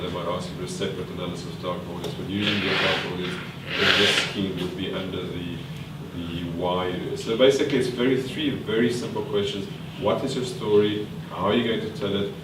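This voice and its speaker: A man's voice: deep voice, fairly even intonation, fast, hardly any pauses. A man leading a filmmaking workshop, quizzing the group and thinking aloud with fillers and restarts. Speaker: workshop trainer. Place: large meeting room with a live group. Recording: echoey room from far off, noisy.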